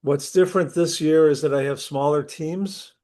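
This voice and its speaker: A middle-aged man, a university instructor, opening an online class session while losing his voice from a cold, talking conversationally and clearing his throat. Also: a little high, steady tone, moderate pace, warm tone. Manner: conversational, clears throat often, restarts sentences. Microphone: computer mic on a video call.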